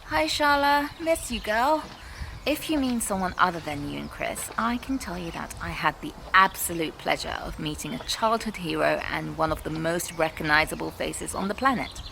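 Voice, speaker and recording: A woman's voice: lowish voice, vocal fry, noisy background. A woman hosting a casual, lightly scripted question-and-answer vlog. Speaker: vlogger and animator hosting a Q&A.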